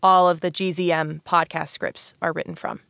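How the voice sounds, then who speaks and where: A woman's voice: fairly low pitch, flat delivery, normal speed, creaky, a bit bright, no room sound, clean studio sound. A woman hosting a podcast in a casual, chatty way, playful and self-deprecating, often saying "like". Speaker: podcast host.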